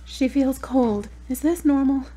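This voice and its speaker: Actress playing a tender, grieving adult daughter. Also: female voice, sits high, a little slow. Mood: worried. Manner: tender, plaintive, talking softly to a loved one. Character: grieving adult daughter at her mother's deathbed. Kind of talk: audio drama acting.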